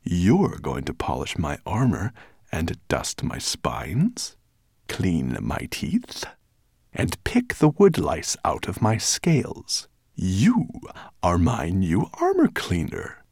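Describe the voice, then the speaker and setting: Big grumpy storybook dragon boss, deep gravelly growl, bossy and mean, swings up and down. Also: male voice, wildly swooping pitch, slow, darkish tone, no room sound, studio quality, exaggerated voice. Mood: gloating. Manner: imperious, snapping, contemptuous. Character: huge grumpy menacing male dragon master, bad-tempered tyrant.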